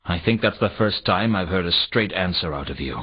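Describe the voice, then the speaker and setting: A man's voice: low voice, expressive, brisk, breathy edge. A man playing a world-weary, dryly sardonic private detective in a noir video game. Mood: dry, inquisitive. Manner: dry, sardonic. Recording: dull, lo-fi game audio.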